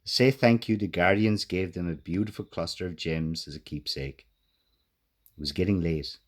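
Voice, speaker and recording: Deep-voiced man narrating a children's story. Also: moderate pace. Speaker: author reading own children's book.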